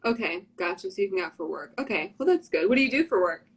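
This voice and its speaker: A woman's voice: medium pitch, animated, fast, slightly rough. A young woman hosting a casual fan livestream on a phone or webcam, bubbly and gushing, with lots of "like"s.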